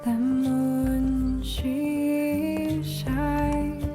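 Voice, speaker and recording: A woman singing in a gentle, lullaby-like style. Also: sits high, monotone, very slow, over music.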